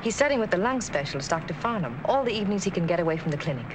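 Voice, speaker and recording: An actress playing an earnest young woman who works at a clinic in a 1940s film, sincere and soft-spoken. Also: on the low side, very animated, very fast. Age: young adult. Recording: hissy 1940s film soundtrack.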